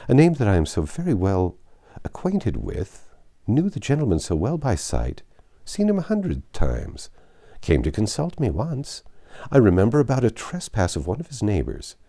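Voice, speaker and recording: A man's voice: on the low side, huge pitch swings, moderate pace, warm tone, voice pushed up, full character voice. A man voicing a chatty, flustered, deferential older lawyer who rambles eagerly in a classic novel reading. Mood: flustered, searching. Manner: rambling, eager, self-interrupting.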